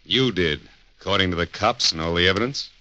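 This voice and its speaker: Cocky gangster, low forceful voice, clipped threats. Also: male voice, brisk, slight background noise. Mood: menacing. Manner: threatening, clipped tough-guy talk. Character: menacing male gangster boss, cold and cocky. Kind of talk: 1940s radio acting.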